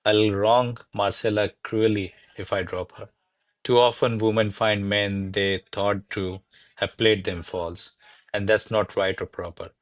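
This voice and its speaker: A man acts a cautious secretary serving a great lady in a classical radio comedy. His voice is on the low side. He delivers formal verse in a measured, deferential, theatrical way. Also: flat delivery, natural voice. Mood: wondering, resolute.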